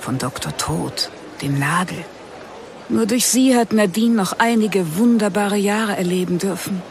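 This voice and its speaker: An actress as polite grieving older aunt. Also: female voice, medium pitch, unhurried, a little hiss or hum, natural voice. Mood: earnest, grateful. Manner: hesitant, polite. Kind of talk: audio-play acting.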